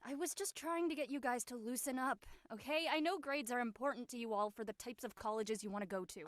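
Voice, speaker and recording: A woman playing a carefree, easily offended teenage schoolgirl in a drama read, sarcastic and expressive. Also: fairly high pitch, fast talker, dry recording, natural voice. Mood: defensive. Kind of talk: audio drama table read acting.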